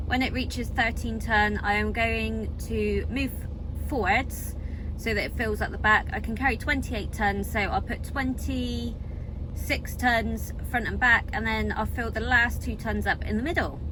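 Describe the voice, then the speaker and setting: A woman's voice: a little high, fairly even intonation, unhurried. A British woman talking casually as a lorry driver vlogging her work, explaining things in a chatty way. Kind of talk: casual vlog commentary. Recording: vehicle noise behind the voice. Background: engine and vehicle noise.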